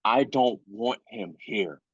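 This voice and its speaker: A man's voice: mid-range voice, takes it slowly, slightly rough, dry recording, lo-fi sound. An actor playing a grieving, furious father in a dramatic script reading, speaking emphatically and incredulously, repeating phrases for weight. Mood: stern, agitated.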